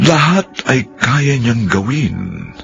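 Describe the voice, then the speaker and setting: A man's voice: mid-range voice, expressive, takes it slowly, dull audio, loud music behind the voice. A man with a Filipino accent giving dramatic scripted narration as the host of a radio drama.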